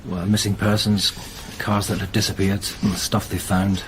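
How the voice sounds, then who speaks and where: Radio crime drama detective, male actor: practical, matter-of-fact, slightly low voice, faint background ambience. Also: little pitch movement, normal speed, background noise, close to an everyday voice. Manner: matter-of-fact, reporting details.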